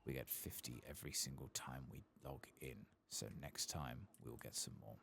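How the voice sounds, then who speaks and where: A man softly murmuring casual, unhurried ASMR commentary over a video game, sometimes whispering, close to the microphone. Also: extremely low pitch, vocal fry, a little hiss or hum. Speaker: gaming ASMR streamer.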